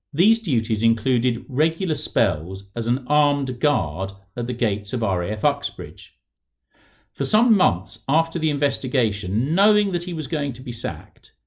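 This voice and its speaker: A middle-aged British man reads aloud in a measured, articulate way. His medium-pitched voice moves with plenty of melodic variation, and his phrasing is deliberate and formal, like an author presenting his own factual writing. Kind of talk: measured reading aloud from a non-fiction book.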